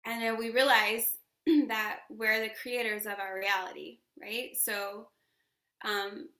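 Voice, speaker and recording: A woman's voice: mid-range voice, flat delivery, slow, sharp tone. A woman, a yoga teacher, chatting as an interview guest, effusive and laughing often as she talks about spiritual ideas. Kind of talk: casual interview chat. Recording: roomy echo.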